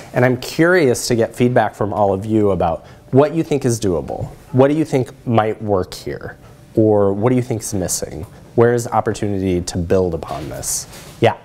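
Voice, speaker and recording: A man in his thirties giving a lecture and discussion, measured, often posing rhetorical questions to his listeners. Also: on the low side, darkish tone, slight background noise. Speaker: presenter, social work researcher. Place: lecture room, at the front by a screen.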